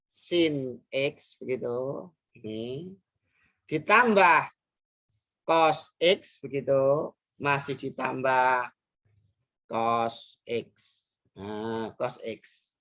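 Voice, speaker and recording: Low-voiced woman teaching math. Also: fairly bright tone. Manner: talks through steps aloud, asks rhetorical questions, frequent fillers. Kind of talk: math lesson explaining worked examples.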